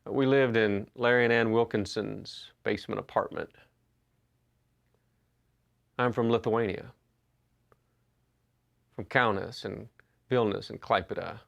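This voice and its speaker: A man in his fifties preaches in an easy, conversational way, full of small pauses and restarts. His voice sits low and carries a gravelly fry. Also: fairly even intonation, fairly bright tone, lo-fi sound. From Western North Carolina. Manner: conversational storytelling with frequent uh and restarts. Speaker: pastor. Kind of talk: sermon. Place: church sanctuary.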